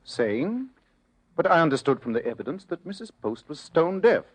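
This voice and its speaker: A man playing a keen, self-assured English gentleman detective in an old-time radio drama, speaking formally and with precise authority. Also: a little high, very animated, moderate pace, breathy edge. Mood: probing.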